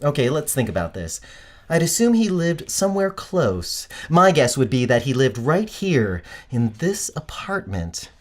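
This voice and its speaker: A man playing an eager, animated young amateur sleuth. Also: mid-range voice, a little slow. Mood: musing, curious. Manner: enthusiastic, casual, musing aloud. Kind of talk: audio drama acting.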